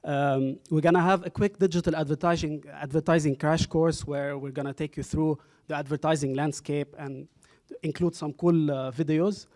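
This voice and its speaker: Male workshop presenter, fairly high voice, relaxed and halting, hall mic. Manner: relaxed, with frequent ums and uhs. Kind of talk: conference presentation. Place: university lecture hall. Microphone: handheld microphone.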